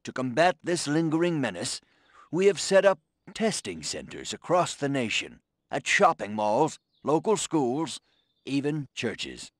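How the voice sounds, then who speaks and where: Avuncular American president, game cutscene, swooping intonation, pompous reassurance, close dry sound. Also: male voice, mid-range voice, very animated, a little slow, dry recording. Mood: folksy, reassuring. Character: folksy, reassuring 1950s American president addressing the nation. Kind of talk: televised political address, scripted.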